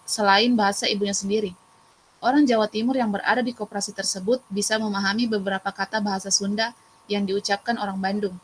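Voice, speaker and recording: A young woman reads her part of a class presentation at a steady, even pace in a mid-range voice. A faint hum of room ambience sits behind her. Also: mid-range voice, poor audio. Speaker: student presenter and moderator.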